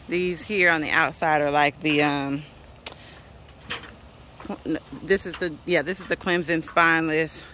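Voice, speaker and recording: A woman's voice: deep voice, bright, forceful tone, slight background noise. A woman in her forties giving a casual, chatty tour of her backyard garden on a phone held at arm's length, laughing often. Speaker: vlogger.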